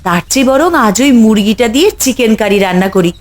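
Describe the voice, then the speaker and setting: A woman's voice: mid-range voice, quick pace, close to an everyday voice. A woman voicing a caring village mother in a cartoon, practical and talking to herself. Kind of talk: Bengali cartoon voice acting.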